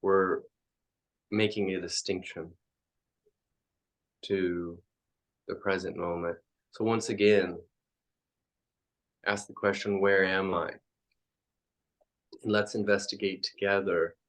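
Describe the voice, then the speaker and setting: A man leading a guided meditation as a teacher, speaking in halting, reflective phrases with frequent pauses. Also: sits low, little pitch movement.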